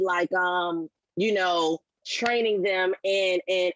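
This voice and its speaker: A woman voice actor giving affirming feedback in a casual discussion, halting as she thinks aloud. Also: mid-range voice, a bit bright, dry recording, dull audio.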